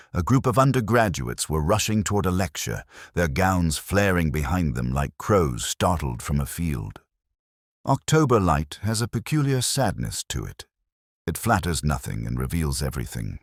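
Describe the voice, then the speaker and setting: A man playing a brooding, world-weary, literary police detective, narrating his story in a measured, solemn, wry manner. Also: low-pitched, no echo, clean studio sound, full character voice. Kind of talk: scripted first-person detective story narration.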